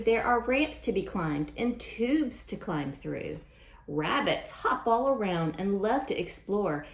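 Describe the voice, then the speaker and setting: A woman reading aloud at storytime for young children in a sing-song manner, often asking questions and repeating lines. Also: mid-range voice. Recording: roomy, echoing sound.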